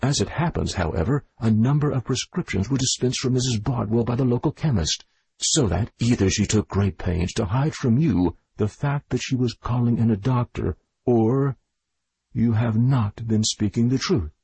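A man's voice: mid-range voice, vocal fry, warm tone, husky, no echo, poor audio. A man voicing a stern middle-aged doctor who questions a suspect in a story reading. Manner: stern, measured.

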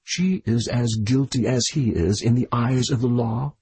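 A man's voice: medium pitch, dark tone, breathy edge, dry recording, voice pushed up. A man voicing a stern middle-aged doctor who questions a suspect in a story reading. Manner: stern, measured.

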